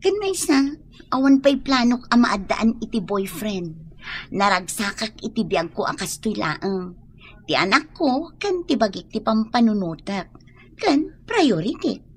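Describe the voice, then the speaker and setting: A woman's voice: medium pitch, sing-song, a little slow, a little hiss or hum. A woman playing a busy, dismissive single mother and elder sister in a radio drama, sighing and exclaiming with a Filipino accent. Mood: earnest. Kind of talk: Ilocano radio drama acting.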